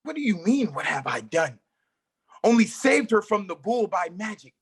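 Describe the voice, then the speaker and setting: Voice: mid-range voice, sing-song, moderate pace, breathy edge. A performer reading a male wandering magician in a play table read, stammering and theatrical. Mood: defiant. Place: live reading at an art exhibition.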